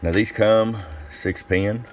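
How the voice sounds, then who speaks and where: A man giving a casual product review and demonstration as a seller, explaining things in an informal, unhurried way. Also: lowish voice, fairly even intonation, slight background noise. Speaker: radio seller presenting a product demo.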